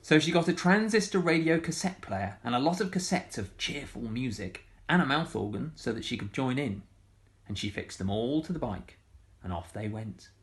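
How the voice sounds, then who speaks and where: A man reading a picture book aloud to schoolchildren, animated and full of sound effects. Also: medium pitch, moderate pace. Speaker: storytime reader for schoolchildren.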